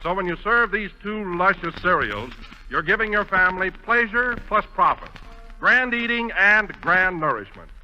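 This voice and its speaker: A man delivers an old 1940s radio advertisement with polished, emphatic salesmanship. His voice is very bright and forceful, with lively swings in pitch, on a muffled vintage broadcast. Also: normal speed, faint music behind. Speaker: radio announcer reading a commercial.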